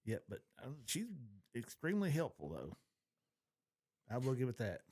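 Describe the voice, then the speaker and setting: A man's voice: medium pitch, wildly swooping pitch, normal speed, darkish tone. A middle-aged man chatting casually as a podcast co-host, folksy, opinionated and free with swearing. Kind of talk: casual chat.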